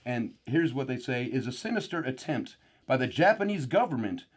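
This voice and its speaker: An actor playing a rambling paranoid uncle who is a guest on a conspiracy show, with sudden excitable outbursts. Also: male voice, medium pitch, normal speed, breathy edge. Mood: rambling indignant. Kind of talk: deadpan parody talk show.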